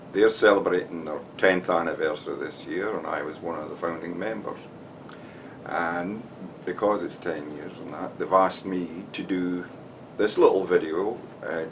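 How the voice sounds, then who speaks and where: A man's voice: low voice, creaky, far from the mic, a little hiss or hum, low-quality recording. An older man from Scotland with a Scottish accent gives an informal talk to camera, explaining steadily and often starting with "now" or "uh". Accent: Scottish, Dumfries. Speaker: society member giving a talk. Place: room with shelves and flags behind.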